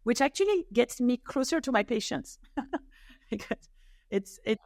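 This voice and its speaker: A woman's voice: fairly high pitch, lively intonation, unhurried, a little mellow, no echo, clean studio sound. A middle-aged woman from France, a rheumatologist guest, answering enthusiastically with laughs and many restarts. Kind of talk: casual interview answers.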